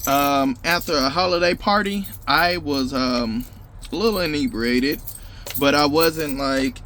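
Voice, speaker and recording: A man with a slightly high voice chats informally to his viewers as he works. His talk is slangy and loose, with casual swearing. Clear vehicle and outdoor noise runs through the recording. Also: measured pace, a bit bright. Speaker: car audio vlogger. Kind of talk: casual hands-on install vlog talk. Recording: noisy vehicle and outdoor phone-video sound.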